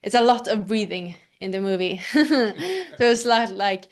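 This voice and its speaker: A young actress from Sweden answering interview questions hesitantly, with many fillers. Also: female voice, mid-range voice, lively intonation, measured pace. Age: young adult. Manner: hesitant, lots of fillers, laughs easily. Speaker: actress guest.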